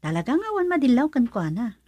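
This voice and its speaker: An actress with a northern Philippine accent playing a frank, supportive young best friend in a radio drama, animated and questioning. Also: female voice, high-pitched, fast talker, dry recording, full character voice. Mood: frank, curious. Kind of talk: Ilocano radio drama acting.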